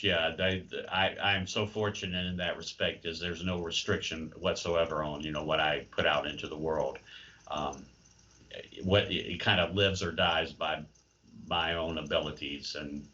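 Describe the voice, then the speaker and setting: A man's voice: a bass voice, steady tone, moderate pace, pushed and bright, lo-fi sound. A man answering interview questions as a playwright in a hesitant, thoughtful, wry conversational way. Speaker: playwright and creative writing professor, interview guest.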